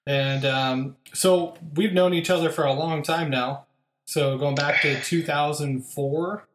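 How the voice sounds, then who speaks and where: A man's voice: on the high side, steady tone, slow pace, a bit bright, echoey room, a little hiss or hum. A man hosting a podcast, chatting casually and upbeat with lots of fillers. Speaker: podcast host.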